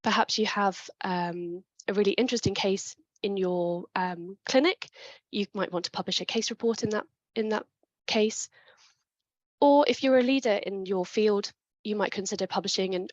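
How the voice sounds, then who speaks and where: A woman presenting a webinar talk on writing papers, clear and methodical, with a chest infection coming on. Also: mid-range voice, fairly even intonation, normal speed, dry recording, highs cut off. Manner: clear, methodical, with small restarts.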